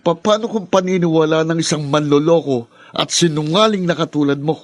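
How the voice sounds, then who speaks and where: An actor playing a bitter, rebellious young son in a radio drama, with a scornful, confrontational delivery. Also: male voice, fairly high pitch, a little fast, no echo, lightly acted. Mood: bitter rage. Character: Darwin, bitter rebellious spoiled young son, quick to rage.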